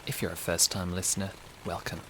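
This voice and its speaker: Man, low voice, soothing meditation host, gentle unhurried instruction, faint rain in background. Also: level tone, background noise. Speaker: podcast host, meditation guide. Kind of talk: guided sleep meditation.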